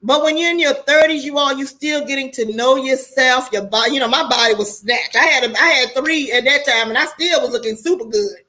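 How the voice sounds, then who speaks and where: A woman's voice: sits high, brisk, few pauses, bright, forceful tone. An American woman of forty-eight talking casually to her online viewers, candid and preachy, in loose colloquial speech with free swearing. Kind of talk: casual livestream monologue.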